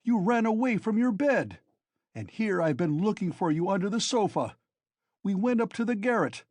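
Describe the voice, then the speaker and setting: A man reading an audiobook, voicing a loud, hot-tempered young man who scolds and shouts. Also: sits high, big pitch swings, moderate pace, no room sound, voice pushed up. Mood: furious scolding.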